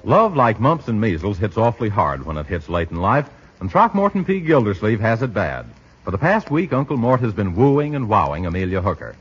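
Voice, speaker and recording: A man's voice: lowish voice, very animated, brisk. A man announcing in a polished, scripted 1940s radio style, with a theatrical salesman's delivery. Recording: muffled vintage radio recording.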